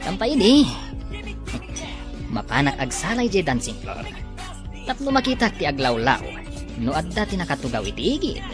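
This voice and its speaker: A woman acting an eager, deferential sidekick in a radio drama, chatty and expressive. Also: sits low, normal speed, pauses often, music in the background. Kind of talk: Filipino radio drama dialogue.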